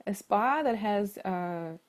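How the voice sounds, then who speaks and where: A woman's voice: mid-range voice, animated, takes it slowly. A woman from Siberia with a Russian accent, answering as an interview guest in a conversational style. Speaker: interview guest, marketing leader and coach.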